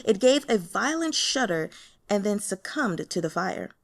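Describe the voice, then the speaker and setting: A woman reading a novel aloud as an expressive audiobook narrator. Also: medium pitch, unhurried. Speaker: audiobook reader.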